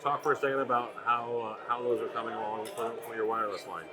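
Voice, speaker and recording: A man's voice: medium pitch, steady tone, quick pace. Male interviewer with crowd chatter behind. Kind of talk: trade show interview. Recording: crowd chatter at busy event. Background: crowd at a sales meeting.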